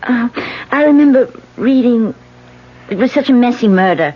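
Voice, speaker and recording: An actress playing a young woman politician who hides a murderous past and talks in a halting, stammering, fearful way. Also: fairly high pitch, animated, a little hiss or hum, natural voice. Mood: nervous. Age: young adult. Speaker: actor. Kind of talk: 1970s radio drama acting. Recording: dull old radio broadcast.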